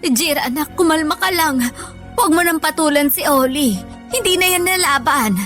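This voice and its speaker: A woman's voice: high-pitched, moderate pace, fairly bright tone, soft background music. A woman playing a long-suffering, sickly working mother in a Tagalog radio drama, speaking plaintively to her child. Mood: scolding, weary. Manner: pleading, plaintive.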